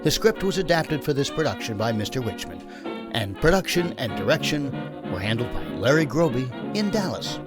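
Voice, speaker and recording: Animated male announcer over loud music. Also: mid-range voice, a little fast. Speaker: announcer reading closing credits. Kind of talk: scripted narration.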